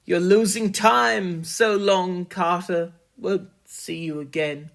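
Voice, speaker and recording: A desperate man trapped below ground cries out in frantic urgency, as a storyteller puts him on. His voice is raised and strained, pitched well above the teller's own, often shouting. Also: steady tone, slow, vocal fry. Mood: frantic pleading. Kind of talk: dramatic horror story reading.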